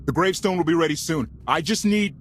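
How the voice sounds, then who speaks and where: A man's voice: on the high side, fast talker, a bit bright, slight background noise, close to an everyday voice. A man playing a bossy starship pilot in a video game, urgent in his delivery. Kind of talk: cinematic video game acting.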